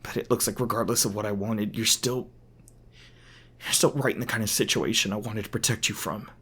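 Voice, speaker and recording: A man playing an edgy, protective young hunter in an audio roleplay, earnest, urgent and halting. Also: lowish voice, fairly even intonation, a bit bright, breathy edge. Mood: guilty, tender. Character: edgy, anxious young male hunter, protective and foul-mouthed.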